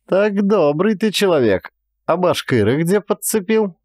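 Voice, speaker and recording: A man voicing a jovial, chatty male visitor who banters in a familiar, animated way in an audiobook. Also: high-pitched, unhurried, dry recording, studio quality, voice pushed up, exaggerated voice. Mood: breezy, familiar.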